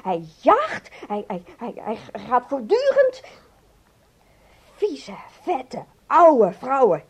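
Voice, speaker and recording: Radio drama secretary: very high, animated, tearful, prim and accusing. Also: female voice, breathy edge, exaggerated voice. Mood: outraged, distressed. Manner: agitated, halting, repeating words in outrage. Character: distraught, prim young female office secretary, indignant and accusing. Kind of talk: Dutch radio play acting.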